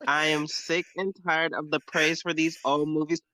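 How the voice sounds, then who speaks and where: A man's voice: fairly high pitch, little pitch movement, moderate pace, bright, forceful tone, dry recording. A man arguing in a heated, casual panel debate with a sassy, emphatic manner. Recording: slightly muffled audio.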